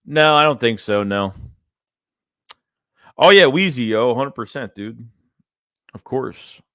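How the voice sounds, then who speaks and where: A man's voice: mid-range voice, lively intonation, slow pace, sharp tone, clear, resonant tone, no room sound, clean studio sound. A young man hosting a casual fan livestream, reading chat messages and rambling loosely with lots of "man" and "dude". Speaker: fan channel host reading live chat.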